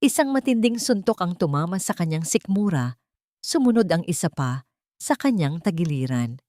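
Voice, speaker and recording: A woman's voice: on the low side, sing-song, moderate pace, mellow, no echo, studio quality. A woman narrating a dramatic romance story in an emotive storytelling manner.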